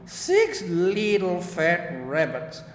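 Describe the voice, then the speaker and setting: A man voicing a greedy, gloating old farmer from a storybook, with drawn-out, emphatic exclamations. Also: high voice, voice pushed up, heavily acted. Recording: echoey room, long reverb, steady background noise.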